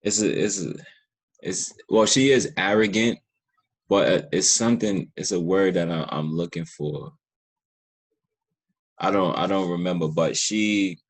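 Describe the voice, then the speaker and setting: Man, very low voice, podcast host, casual conversational style, informal, feels his way to words.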